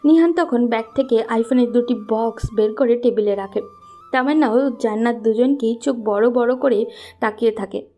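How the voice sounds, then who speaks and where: A woman's voice: on the high side, moderate pace, dark tone, soft background music. A woman narrating a scripted story as its storyteller.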